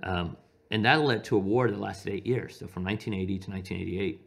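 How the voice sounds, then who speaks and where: Guy in his 40s, lowish voice, doctor getting interviewed, chatty, keeps restarting sentences. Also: quick pace, creaky, fairly bright tone. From Iran. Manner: hesitant, self-correcting, repeats opening words. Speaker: physician being interviewed. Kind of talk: conversational interview, personal storytelling.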